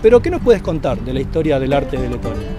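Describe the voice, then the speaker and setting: A man's voice: medium pitch, very animated, fast talker, over music. A man from Argentina, hosting a casual street interview and asking friendly, short questions. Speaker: host, interviewer.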